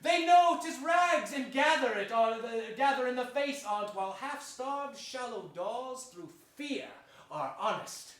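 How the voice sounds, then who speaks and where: A man's voice: extremely high pitch, expressive, a bit bright, full character voice. An actor playing a scheming, sardonic nobleman in a declamatory Shakespearean stage style, sly and falsely courteous. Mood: gloating, cynical. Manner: declamatory, sly, with drawn-out deliberate phrasing. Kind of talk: theatrical Shakespearean stage acting. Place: theater stage before an audience. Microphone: distant stage or camera mic. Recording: roomy stage pickup, distant, faint noise.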